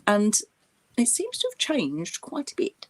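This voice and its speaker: A woman's voice: fairly high pitch, huge pitch swings, unhurried. Playful British woman with a swooping voice. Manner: playful, jokey. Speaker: co-host of an interactive chat show. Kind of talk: casual chat.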